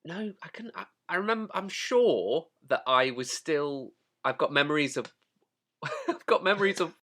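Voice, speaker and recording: Lively, bright-voiced male co-host. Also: a little high, normal speed. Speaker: podcast co-host. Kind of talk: casual comic banter.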